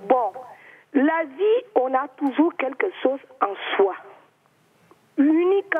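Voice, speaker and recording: A woman's voice: high voice, husky. A woman with an Equatorial Guinean accent, a native Spanish speaker with a cold and a sore throat, calling in to a talk show and speaking with emphatic, heartfelt conviction. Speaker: caller. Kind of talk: phone-in call to a talk show. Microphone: telephone. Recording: tinny phone line.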